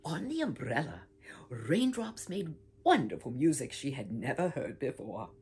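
An older woman reading a children's picture book aloud with theatrical whispers and swooping pitch, talking warmly to young listeners as "my dears". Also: big pitch swings, a little slow, breathy edge. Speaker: storybook reader. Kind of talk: expressive read-aloud for children. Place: home room with bookshelf, indoors.

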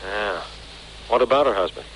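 An actor playing a steady, affable city police detective, clipped and plain-spoken, in a 1940s radio drama. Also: male voice, lowish voice, moderate pace, close to an everyday voice, poor audio. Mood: matter-of-fact. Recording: hissy old 1940s radio recording.

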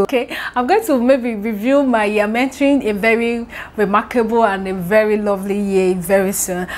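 A woman's voice: medium pitch, a little hiss or hum. Chatty young Nigerian woman vlogging. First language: Yoruba. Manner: chatty, expressive, laughs often, repeats words. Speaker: YouTuber answering viewer questions. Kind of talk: casual Q&A to camera. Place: indoor room with pink wall, seated on sofa. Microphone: lapel mic.

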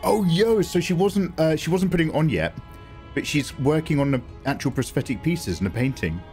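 Excitable English streamer with a lively voice. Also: male voice, fairly high pitch, moderate pace, soft background music. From England. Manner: excitable, swears freely. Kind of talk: casual live-stream chat.

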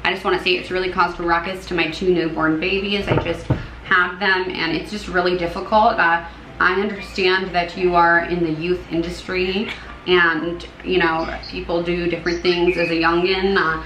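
A woman's voice: lowish voice, flat delivery, normal speed, background noise, lightly acted. A young woman playing a polite, wordy middle-aged neighbour making an earnest complaint on a prank call. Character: polite, put-upon 48-year-old neighbour mother complaining. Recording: roomy echo with music behind.

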